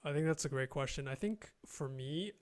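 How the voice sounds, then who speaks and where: A man's voice: fairly high pitch, normal speed. A young man chatting as a guest on a video call, hesitant, with many fillers and restarts, recorded on a close studio mic in a small room. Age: young adult. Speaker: guest, language learner and youtuber. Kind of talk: casual video-call conversation. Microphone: studio mic close in front, earphones.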